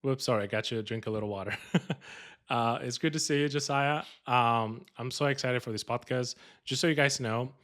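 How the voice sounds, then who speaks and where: A man's voice: mid-range voice, fairly quick, a bit bright. A man from Colombia with a Colombian Spanish accent, hosting a podcast in an upbeat, joking, casual way with many fillers. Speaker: podcast host.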